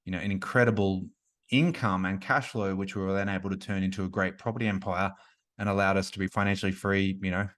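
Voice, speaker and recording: A talkative man in his forties with a lowish voice. He speaks in a loose, conversational way, full of "like," restarts and asides, as he explains his business as a coach. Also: little pitch movement, fast talker, fairly bright tone. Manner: chatty, frequent "like" and restarts. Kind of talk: casual interview conversation.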